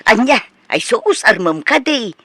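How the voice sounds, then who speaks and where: A woman's voice: on the high side, big pitch swings, measured pace, pushed and bright, no room sound, full character voice. A woman playing a nosy, doting older Filipino mother in a comedic radio drama, teasing and easily startled.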